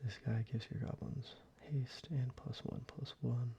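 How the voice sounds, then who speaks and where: A man whispers and speaks softly in a relaxed, casual way, with a gravelly edge and a dark, mellow tone. The recording is a little muffled, with a faint hiss. Faint clicks of handled cards sit in the background. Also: medium pitch, measured pace. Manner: soft-spoken, mostly whispered, casual with fillers. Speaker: ASMR creator. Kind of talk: soft-spoken ASMR card showcase.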